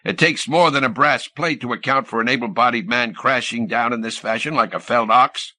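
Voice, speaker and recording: A man voicing a sour, suspicious middle-aged ship's captain in an audiobook, with a gruff, blustering manner of questioning. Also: mid-range voice, a little fast, sharp tone, no echo.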